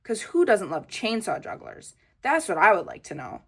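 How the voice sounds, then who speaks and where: A woman reading a children's book aloud as storytelling, in an expressive manner with childlike phrasing. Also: medium pitch, moderate pace, vocal fry, slightly rough.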